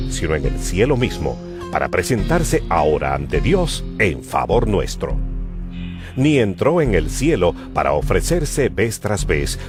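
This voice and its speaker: A man giving a solemn, emphatic dramatized narration of scripture as a Bible narrator. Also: low voice. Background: music.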